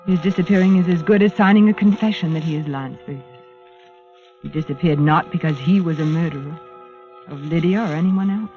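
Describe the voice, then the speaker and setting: Actress playing an anxious, determined young radio-drama heroine. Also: female voice, lowish voice, brisk, natural voice. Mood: anxious, brooding. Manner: earnest, urgent, emphatic delivery. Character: anxious, determined young woman hunting a missing man. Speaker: lead actress. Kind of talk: old-time radio drama acting. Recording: muffled vintage radio recording, music behind.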